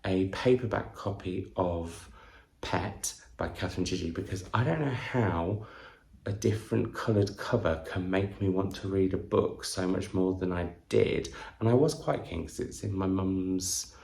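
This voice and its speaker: A man's voice: sits low, unhurried, gravelly, a little mellow. A man chatting to camera in a book vlog, effusive and full of wandering asides and questions to himself. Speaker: book vlogger, channel host. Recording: roomy indoor echo.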